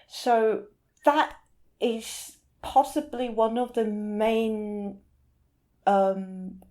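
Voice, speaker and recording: A woman talking as a literary translator in an interview, explaining thoughtfully in halting phrases with some repeated words. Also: a little high, steady tone, warm tone.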